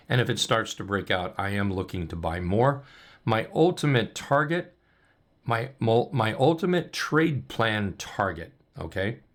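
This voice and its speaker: A man coaching on trading, talking loosely and upbeat with many ums and restarts as he walks through charts, his voice going hoarse. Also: lowish voice, a bit bright. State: losing his voice. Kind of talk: casual chart walkthrough.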